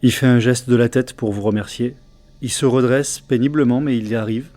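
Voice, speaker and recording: Halting French game master. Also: male voice, mid-range voice, steady tone, a little mellow, soft background music. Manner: halting, with many fillers and restarts. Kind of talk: narrating a tabletop game.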